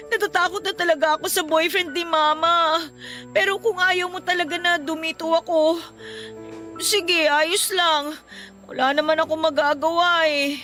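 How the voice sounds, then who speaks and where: A vulnerable young woman in a Tagalog radio drama. Her voice is very high and sharp-edged, with an emotional, pleading delivery. Faint music sits underneath. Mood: pleading, upset. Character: frightened, pleading young girlfriend fleeing an abusive home.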